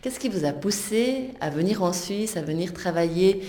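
A woman's voice: mid-range voice, animated, normal speed, dark tone. A woman presenting and interviewing, with prepared introductions and questions put in a conversational way.

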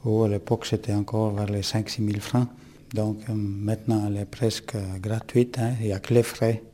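A man's voice: on the low side, little pitch movement, dark tone, slight background noise. Italian-born man in his sixties. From Italy. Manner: halting, plain-spoken, with many fillers. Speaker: interviewee. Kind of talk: casual interview answers.

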